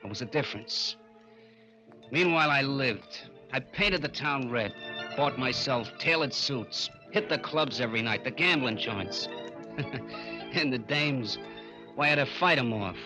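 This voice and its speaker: A man's voice: medium pitch, animated, measured pace, close to an everyday voice, low-quality recording. An American actor playing a tough, brooding gangster trigger man telling his story in an old-time radio crime drama. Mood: brooding, cocky. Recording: old radio broadcast with music behind.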